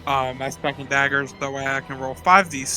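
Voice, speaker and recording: Very bright, sharp-voiced man at game table. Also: mid-range voice, steady tone, a little fast, poor audio. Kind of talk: casual game chat. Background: faint background music.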